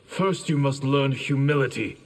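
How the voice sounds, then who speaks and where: An actor playing a wise, stern male master warrior in a video game, calm and lecturing. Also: mid-range voice, moderate pace, big-room sound, lightly acted. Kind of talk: video game character voice acting.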